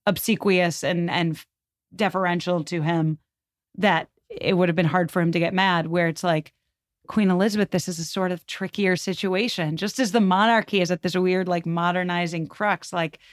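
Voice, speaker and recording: A woman with a slightly low voice chats as a podcast guest in a very colloquial, animated manner. She explains history casually, peppering it with "like" and acted-out asides. The sound is close and dry. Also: normal speed, dry recording. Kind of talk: casual conversational commentary on history.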